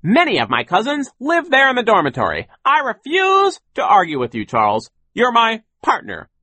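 A man voicing a gruff, impatient male boss in an audiobook story, barking dismissively and emphatically. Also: very high-pitched, huge pitch swings, normal speed, bright, forceful tone, no room sound, voice pushed up, exaggerated voice. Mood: barking, bossy.

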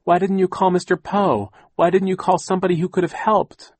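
A man's voice: sits high, fairly even intonation, fairly quick, darkish tone, dry recording, voice pushed up. A man voicing an earnest, exasperated teenage girl in an audiobook, urgent and impatient. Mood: upset, urgent. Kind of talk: audiobook character voice.

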